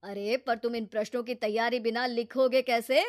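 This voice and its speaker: A woman acts out a sensible married man in an animated moral tale. He is patient and earnest, with a moderately high voice and very little room sound. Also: normal speed, no echo, studio quality, natural voice. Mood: concerned, advising. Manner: earnest, advising. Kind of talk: animated moral story character acting.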